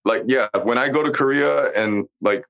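A man's voice: medium pitch, normal speed, vocal fry, fairly bright tone, poor audio. A man co-hosting a podcast, chatting casually about a TV show, blunt and emphatic with heavy swearing. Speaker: podcast co-host.

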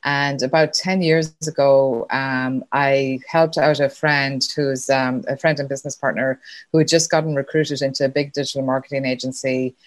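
Low-voiced Irish woman, chatty guest. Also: fairly even intonation, moderate pace, no echo. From Ireland. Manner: chatty, laughs often, many fillers. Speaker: podcast guest, sponsorship consultant. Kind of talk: casual interview chat.

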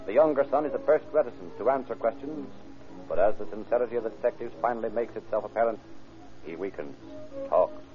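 A man's voice: lowish voice, quick pace. A man reading dramatic scripted narration in the style of old-time radio. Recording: narrow-band old radio recording over music. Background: music.

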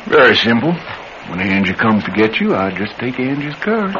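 Male actor as young drifter, radio drama: surly, slangy, threatening, expressive mid-range voice on a dull old recording. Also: medium pitch, moderate pace, natural voice. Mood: menacing, demanding. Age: young adult. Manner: slangy, curt, insolent. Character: surly young male drifter, menacing, impatient, uneducated tough. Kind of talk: classic radio drama acting. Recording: muffled, noisy vintage radio recording.

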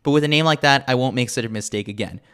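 A man's voice: medium pitch, fast, a bit bright, smooth. A man reading a scripted sports newsletter as a podcast host, with a wry, tongue-in-cheek manner.

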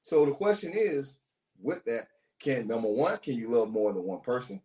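A man's voice: mid-range voice, big pitch swings, moderate pace, mellow, roomy sound. A man co-hosting a casual relationship talk show, joking with crude banter and asking questions of the listeners. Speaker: podcast co-host.

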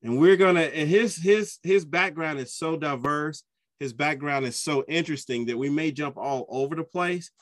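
A man hosting a soccer podcast, chatty and enthusiastic, often jumping up in pitch when he gets excited. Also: moderate pace, no room sound, studio quality. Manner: enthusiastic, chatty, with sudden upward pitch jumps. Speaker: podcast host. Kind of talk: casual podcast interview.

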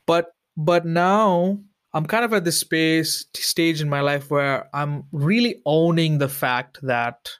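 A man's voice: on the high side, takes it slowly, clear, resonant tone, no echo, clean studio sound. A man from Sri Lanka, a podcast guest, who speaks earnestly and searchingly, repeating phrases for emphasis. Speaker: interview guest. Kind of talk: personal reflective conversation.

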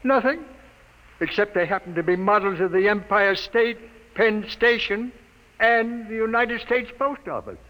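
Commanding suspicious boss, high-pitched sharp voice, blunt slang, noisy old radio drama recording. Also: male voice, unhurried. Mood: suspicious, puzzled. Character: brisk, suspicious, commanding boss called Pop. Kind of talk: 1940s-style radio drama acting.

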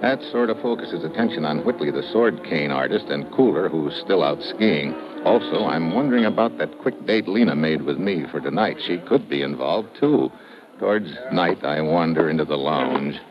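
Deep-voiced hard-boiled radio investigator. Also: male voice, lively intonation, moderate pace, over music. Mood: terse narration. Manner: clipped, dry, hard-boiled. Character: tough wisecracking male American investigator narrating his case. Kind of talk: 1950s radio drama acting, hard-boiled narration. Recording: noisy muffled old radio recording, clicks.

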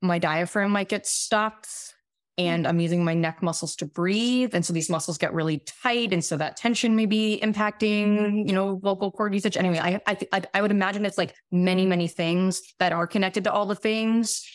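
A woman in her late thirties with a raspy voice, explaining things conversationally as an expert guest, often halting and restarting her phrases. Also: medium pitch, fairly even intonation, dry recording, studio quality. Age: late 30s. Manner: halting, self-interrupting, many repeated words. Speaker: podcast guest, medical doctor.

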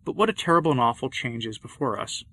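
A man's voice: medium pitch, expressive, fast talker, fairly bright tone. A man reading a sermon aloud in a solemn, impassioned, old-fashioned declamatory style. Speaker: preacher.

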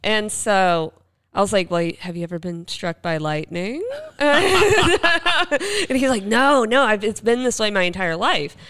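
A woman's voice: mid-range voice, animated, a little slow, fairly bright tone. A woman podcast co-host chatting casually and telling anecdotes, acting out bits of dialogue as she goes. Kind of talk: casual chat, anecdotal storytelling.

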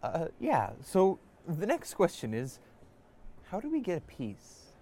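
A man's voice: sits high, wildly swooping pitch, a little slow, dark tone, full character voice. A man playing an earnest, hesitant young wizard scholar explaining things in a comedic fantasy audio drama.

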